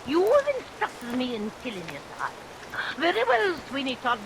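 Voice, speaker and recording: An actress playing a bitter, defiant accomplice of a murderer in a vintage radio melodrama, speaking in a theatrical, declamatory way. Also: female voice, sits high, huge pitch swings, normal speed, full character voice. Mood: outraged, threatening. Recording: vintage radio recording under rain noise.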